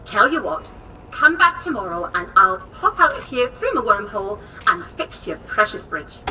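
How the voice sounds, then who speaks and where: An actress playing an eccentric, overconfident English woman inventor in a game cutscene, theatrical, brisk and condescending. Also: medium pitch, big pitch swings, lightly acted. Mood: brisk, pleased. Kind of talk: video game cutscene acting. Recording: distant from mic, faint noise.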